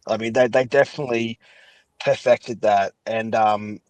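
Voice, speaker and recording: A man's voice: lowish voice, steady tone, moderate pace, a little mellow, no room sound, poor audio. A man chatting casually as a podcast guest, giving his opinions in a rambling way and often qualifying what he says. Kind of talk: casual chat.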